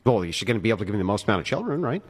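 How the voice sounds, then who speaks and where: Man, 40s, heated debate, fast talker, lively swooping pitch, pressing and insistent. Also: breathy edge. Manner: pressing, repeats demands for an answer. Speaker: podcast debater.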